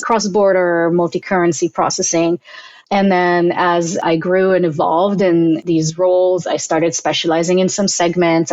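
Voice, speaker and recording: A woman's voice: lowish voice, darkish tone, no echo, highs cut off. A middle-aged woman from Israel, a guest in a casual interview, telling her story thoughtfully with frequent restarts. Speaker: guest, payments product executive.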